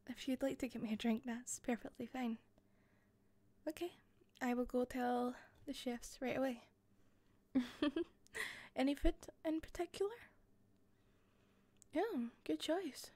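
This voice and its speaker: A woman with a softened Scottish accent playing an attentive hostess in a roleplay, speaking softly into a close mic with frequent giggles and whispers. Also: high-pitched, slow pace, long pauses, no room sound. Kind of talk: listener-directed roleplay.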